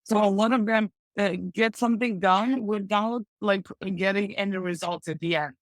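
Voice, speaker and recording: A woman's voice: medium pitch, fairly even intonation, moderate pace, no room sound. Chatty woman, dry close sound. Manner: chatty, enthusiastic, full of "like" fillers. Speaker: podcast guest, e-commerce translation expert. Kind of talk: casual advice-giving interview talk.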